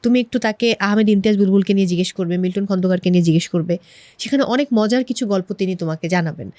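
Quick Bangladeshi woman hosting, dry studio sound. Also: medium pitch, darkish tone, no echo. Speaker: talk show host. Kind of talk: television interview hosting.

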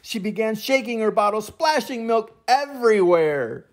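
An older man reading a children's picture book aloud as an expressive storyteller. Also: high voice, moderate pace. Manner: expressive, acts out sound effects and voices.

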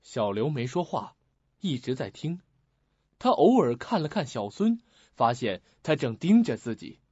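An actor narrating a suspense mystery radio play as a dramatic storyteller. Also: male voice, mid-range voice, very animated, measured pace, warm tone, breathy edge, no echo. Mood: suspenseful. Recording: slightly muffled audio with music.

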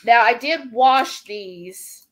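A woman's voice: fairly high pitch, expressive, extremely slow, noisy background. A woman in her thirties chatting casually during a home cooking livestream, reading out viewer comments. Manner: reads viewer comments aloud. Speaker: livestream co-host. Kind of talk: casual live cooking chat. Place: home kitchen. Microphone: fixed camera mic at the table.

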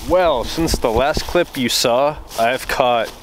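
A man's voice: mid-range voice, takes it slowly. A young man casually talking through his fishing trip from a small boat on a pond, recorded on a chest-mounted action camera. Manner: casual, chatty, excited on catches. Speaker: fishing vlogger. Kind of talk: casual fishing vlog chat. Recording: outdoor noise on action cam.

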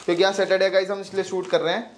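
एक युवा आदमी की तेज़ और ऊँची आवाज़, जो एक लैपटॉप दुकान के अंदर से व्लॉग होस्ट कर रहा है। वह दर्शकों से सीधे और जोश के साथ बात करता है। उसका अंदाज़ बेतकल्लुफ़ है, जैसे किसी कैज़ुअल व्लॉग में होता है।. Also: male voice, high-pitched, level tone, racing. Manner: upbeat, talks straight to viewers as "guys". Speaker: vlog host. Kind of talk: casual promotional vlog.

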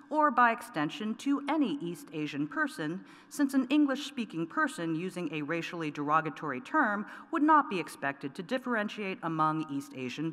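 Woman, middle-aged, poet, lectern mic, measured deliberate reading, lively rising and falling pitch, long hall reverb. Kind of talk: poetry reading. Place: large wood-paneled auditorium, at a lectern. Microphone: lectern microphone.

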